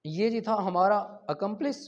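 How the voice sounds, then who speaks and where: A man's voice: sits high, takes it slowly. A man with a Pakistani accent giving an explanatory law lecture with examples, asking and answering his own questions and mixing in English terms. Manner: switches between Urdu and English legal terms. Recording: dull lo-fi sound.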